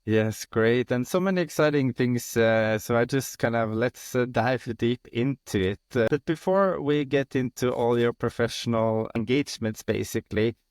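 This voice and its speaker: A man's voice: medium pitch, normal speed, hardly any pauses, no echo, studio quality. Male podcast host introducing a guest.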